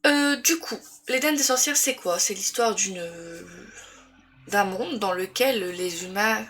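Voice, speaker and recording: A woman's voice: medium pitch, a bit bright, a little hiss or hum. French woman with a head cold. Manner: rambling with many fillers and restarts. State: congested with a cold. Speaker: booktuber. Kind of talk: casual book review vlog.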